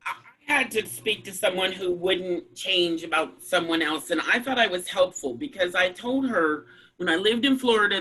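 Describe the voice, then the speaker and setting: A woman with a deep voice and a slightly husky, raspy texture. She talks frankly and emphatically on a discussion panel, with a bright, forceful delivery. Her voice comes through a video call. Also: rough recording.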